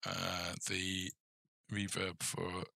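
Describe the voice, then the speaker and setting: Guy muttering thru a coding tutorial, crackly low voice, kinda hesitant, very dry sound. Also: completely flat delivery, extremely slow, vocal fry, pushed and bright, dry recording. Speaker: game development tutorial host. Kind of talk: casual coding tutorial, thinking aloud.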